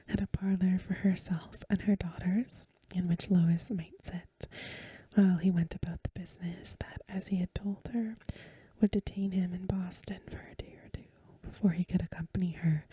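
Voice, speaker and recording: A woman reads an old-fashioned story in an ASMR style. Her voice is a very breathy, hushed whisper with a soft, dark edge. She goes gently and without hurry, close to the mic in a dry, echo-free space. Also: medium pitch. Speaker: ASMR reader. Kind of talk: soft-spoken ASMR reading of a Victorian story.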